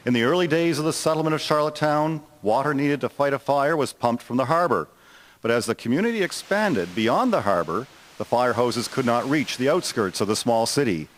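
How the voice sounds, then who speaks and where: A man's voice: mid-range voice, animated, fairly quick. A man reading scripted narration as the storyteller of an audio drama. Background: music.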